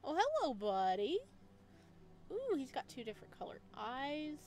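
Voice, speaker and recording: A woman doing a let's play, reading story text aloud and adding expressive, chatty reactions in a casual style. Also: high voice, measured pace. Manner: expressive, chatty asides between read passages.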